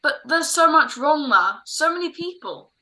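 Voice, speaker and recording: A woman's voice: sits high, expressive, moderate pace, bright, forceful tone, low-quality recording. A woman playing an earnest, restless, dutiful son in a radio drama. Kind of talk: classic radio drama acting.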